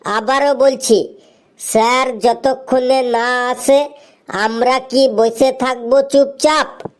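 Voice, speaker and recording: A woman voicing a cheeky, bossy schoolboy show-off in a comedy cartoon, with a drawn-out, declaiming delivery. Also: high voice, steady tone. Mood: bossy, theatrical. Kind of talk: Bengali comedy cartoon dialogue.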